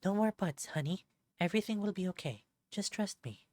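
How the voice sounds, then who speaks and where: Man voicing a firm, higher-pitched mother fox. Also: expressive, fairly quick, darkish tone, no room sound. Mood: soothing, firm. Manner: soothing but insistent. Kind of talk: visual novel character voice acting.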